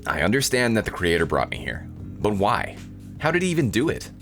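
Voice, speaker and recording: Visual novel protagonist: sardonic young guy read by a man, low-pitched, disappointed, dryly narrating. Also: wildly swooping pitch, fairly quick, gravelly, a bit bright, soft background music, exaggerated voice. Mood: indignant. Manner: dry, incredulous, narrating.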